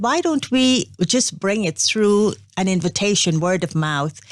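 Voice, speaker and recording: An older woman from Guyana, a spiritual organization's representative, telling her story in a measured, unhurried interview. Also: fairly low pitch, no room sound, studio quality. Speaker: interview guest, Brahma Kumaris representative. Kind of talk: reflective interview talk.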